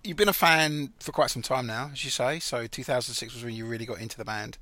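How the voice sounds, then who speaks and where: A man's voice: mid-range voice, fast talker, crowd noise. A man from England hosting a podcast, interviewing his guest casually and asking follow-up questions. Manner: engaged, asks follow-up questions. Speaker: podcast host.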